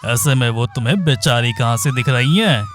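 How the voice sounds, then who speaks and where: A gossiping old village uncle in a cartoon story, put on by a man, pitched well below the performer's own voice and pushed loud. He spreads rumors with relish. Music plays clearly behind him. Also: lively intonation, normal speed, close to an everyday voice. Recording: background music.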